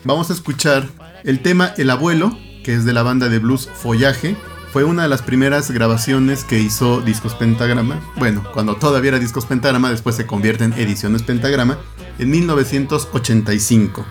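Man explaining music history over soft music. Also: mid-range voice. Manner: informative, measured explaining. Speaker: podcast co-host. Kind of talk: radio-style podcast hosting.

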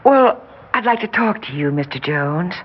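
A woman's voice: fairly low pitch, animated, moderate pace, breathy edge. An actress playing an earnest, insistent woman caseworker in a 1960s radio drama. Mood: firm. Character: determined, pleading woman welfare caseworker. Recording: dull 1960s radio recording.

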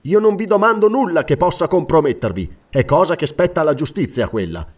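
A man's voice: a little high, expressive, brisk, darkish tone, distant mic. A man voicing a stern, earnest lord in an audiobook, formal and insistent as he questions someone. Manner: formal, insistent, pleading for answers. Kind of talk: dramatic audiobook character reading.

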